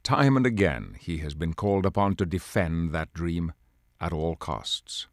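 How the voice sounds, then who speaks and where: Grave, slow, deep-voiced superhero narrator. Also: male voice, expressive, dry recording, clean studio sound. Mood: grave, measured. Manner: solemn, measured, portentous. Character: grave, dignified male narrator and leader of superhero mutants. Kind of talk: scripted dramatic narration. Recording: music under the voice. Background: music.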